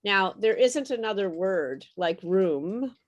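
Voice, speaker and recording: Slow, patient woman teaching pronunciation. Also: mid-range voice, animated, lo-fi sound. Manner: patient, encouraging, explains with repeated example words. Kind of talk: online group pronunciation lesson.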